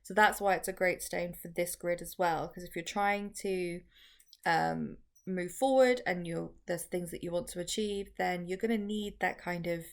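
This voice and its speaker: Woman, casual how-to teacher, slightly croaky with gravelly fry, restart-heavy delivery. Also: fairly low pitch. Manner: chatty, filler-heavy explaining with frequent restarts. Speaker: crystal workshop teacher.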